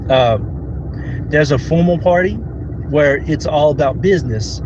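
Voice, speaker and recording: A man in his thirties talks through a solo podcast in a loose, rambling way, with plenty of fillers and restarts. His voice sits a little high for a man. Heavy outdoor traffic noise runs under everything he says. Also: measured pace, highs cut off. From United States. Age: 30s. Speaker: podcast host. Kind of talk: casual solo podcast monologue.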